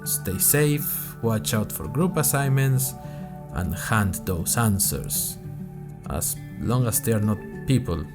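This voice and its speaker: A man playing a bemused university student who narrates a first-person audio diary in a dry, musing, deliberate way. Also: fairly low pitch, animated, music in the background. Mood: tired, signing off. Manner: dry, wry, musing in short deliberate phrases. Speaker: narrator of a fiction podcast.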